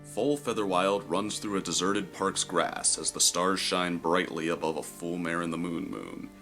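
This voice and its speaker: A man reading scripted story narration in a measured, descriptive way. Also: deep voice, sharp tone, faint music behind.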